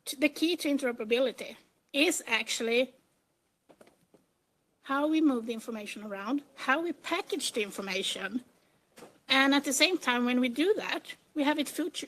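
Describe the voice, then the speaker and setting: A woman's voice: a little high, a bit bright, poor audio. A middle-aged woman with a Swedish accent, giving an informal, plain-spoken conference presentation in non-native English. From Sweden. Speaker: conference speaker, computer engineer.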